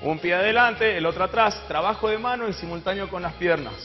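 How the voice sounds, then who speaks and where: High-pitched Argentine male fitness trainer. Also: fairly quick. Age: young adult. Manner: instructive, encouraging. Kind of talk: live exercise demonstration. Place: TV studio, indoors. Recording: dull echoey TV audio, music behind.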